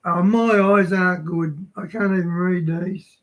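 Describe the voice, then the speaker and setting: A hoarse man hosting a livestream, reading scripture aloud haltingly and chatting casually. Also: high voice, steady tone. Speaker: livestream host.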